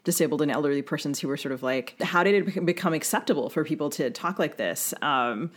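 A woman co-hosting a conversational interview podcast, thinking aloud with halting, restarted sentences. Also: low voice. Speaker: podcast co-host.

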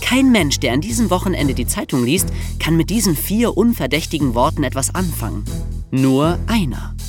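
A woman's voice: low-pitched, big pitch swings, moderate pace, over music. A woman co-hosting a podcast, moving between scripted narration and banter. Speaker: podcast co-host.

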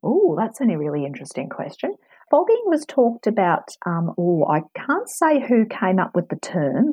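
Woman, low husky voice, dry close sound, steady and explanatory, for an advice interview. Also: no echo. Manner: measured, explanatory, frequent ums. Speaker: anti-bullying expert guest. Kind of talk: calm advisory interview answers.

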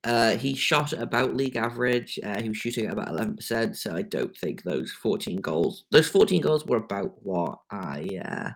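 Gravelly English man hosting a podcast. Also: lowish voice, expressive. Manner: halting, many fillers and restarts. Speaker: podcast host. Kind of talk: casual sports podcast talk.

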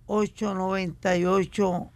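A man reading out digits haltingly and deliberately, one group at a time. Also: high-pitched, little pitch movement, no echo. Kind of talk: halting reading of a phone number.

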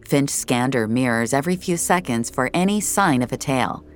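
A woman's voice: rumbling low, expressive, moderate pace, slight background noise. A woman narrating scripted audio fiction as a storyteller.

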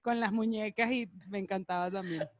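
A woman from Venezuela with a Venezuelan accent, a podcast guest telling childhood stories in a lively, filler-heavy way. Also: medium pitch, normal speed, dry recording. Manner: lively storyteller, many fillers like "o sea". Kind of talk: casual interview chat.

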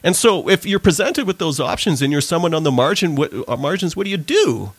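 A man's voice: fairly high pitch, animated, brisk, breathy edge, dry recording. A man from Trinidad, an author and pastor, speaking earnestly as an interview guest and explaining his ideas at length. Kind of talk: interview conversation.

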